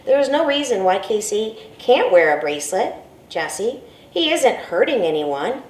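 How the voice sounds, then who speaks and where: A generous grandmother, warm and reassuring, with a slow, easy lilt, as a woman reads her. Heard from a distance in a roomy space. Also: mid-range voice, sing-song, natural voice. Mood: kind. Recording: roomy, from a distance, faint ambience.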